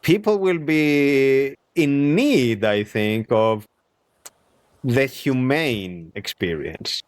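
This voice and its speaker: Animated man hosting a conversation. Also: medium pitch, extremely slow, no room sound. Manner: animated, acts out imagined quotes, laughs easily. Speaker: podcast host. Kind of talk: casual conversation.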